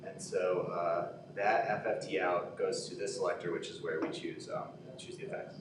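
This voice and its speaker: A low-pitched man talks from a distance in a heavily echoing room. He explains technical material informally and haltingly, as if working it out aloud. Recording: echoey room, distant mic, steady noise.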